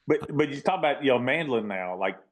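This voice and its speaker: A man with a baritone voice hosting a casual conversation, often repeating words as he starts a thought. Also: animated, quick pace. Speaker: host.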